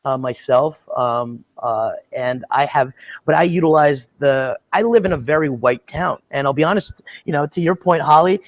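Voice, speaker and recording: Man, higher register, stop-start and earnest, close dry sound. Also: no room sound. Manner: halting, restarts often, earnest. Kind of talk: casual chat.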